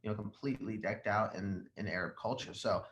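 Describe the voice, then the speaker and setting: A man in his twenties whose first language is Arabic, chatting casually and telling stories in an animated way as an interview guest. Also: lowish voice, a little fast. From Jordan, moved to the United States as a young child. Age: 20s. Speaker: podcast guest, rock singer. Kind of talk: casual conversational storytelling. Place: living room at home, indoors, on a video call. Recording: echoey room, faint background noise.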